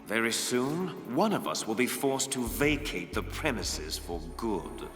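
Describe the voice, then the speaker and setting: An actor playing a smug, mocking spirit villain who possesses a man's mind, speaking deliberately in a video game drama. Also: mid-range voice, very animated. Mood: smug menace. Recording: heavy echo, long reverb, music behind.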